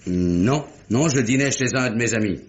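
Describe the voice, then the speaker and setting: An actor playing an aggrieved, self-righteous middle-aged banker, formal and declamatory, in the style of an old French radio drama. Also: male voice, mid-range voice, lively intonation, moderate pace, slight background noise, close to an everyday voice. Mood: formal, explanatory. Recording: muffled vintage radio recording.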